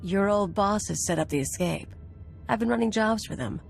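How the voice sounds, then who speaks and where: An actress playing a brash, wry, streetwise smuggler in a video game, casual and sardonic. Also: female voice, mid-range voice, normal speed, creaky, faint music behind, lightly acted. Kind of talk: cinematic video game acting.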